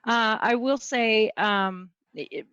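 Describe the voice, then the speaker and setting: A woman's voice: fairly high pitch, slow, fairly bright tone, clean tone, no room sound, lo-fi sound. A woman co-hosting an online show, upbeat and teasing as she reads out viewer questions. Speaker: co-host. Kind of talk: casual online show chat.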